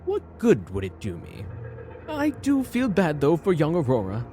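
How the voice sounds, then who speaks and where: A man's voice: fairly high pitch, huge pitch swings, a little slow, mellow, soft background music. A man playing a flamboyant, deranged villain in an audio drama, with a theatrical, airy, mock-courteous manner. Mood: mock innocent. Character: flamboyant, deranged, sly villain feigning friendship.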